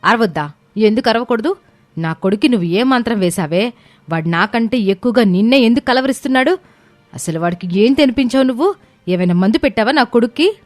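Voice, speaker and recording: A woman's voice: fairly low pitch, normal speed, a little mellow, dry recording, faint music behind, natural voice. A woman voicing an arrogant, angry young mother in a family story, scolding and accusing. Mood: furious, accusing. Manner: scolding, accusing, rhetorical questions. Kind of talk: dramatized Telugu family story acting.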